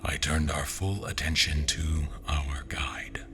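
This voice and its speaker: An actor playing a brooding, suspicious adventurer, narrating his story in a measured, dramatic way. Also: extremely low pitch, fairly even intonation, fairly bright tone, a little hiss or hum, heavily acted. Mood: measured, wary. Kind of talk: scripted first-person story narration.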